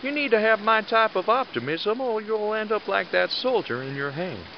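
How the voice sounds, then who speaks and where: A man playing a blunt, commanding, gruffly fatherly squad leader with a sarcastic edge in an audio drama. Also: high-pitched, expressive, moderate pace, dull audio, a little hiss or hum. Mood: stern, exasperated.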